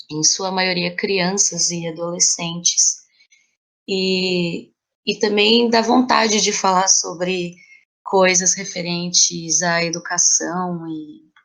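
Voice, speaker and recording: A woman's voice: mid-range voice, fairly bright tone. A woman with a Brazilian accent speaking as an interview guest, explaining things in a conversational, reflective way. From São Paulo, Brazil. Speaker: podcast guest, playwright, actress and teacher.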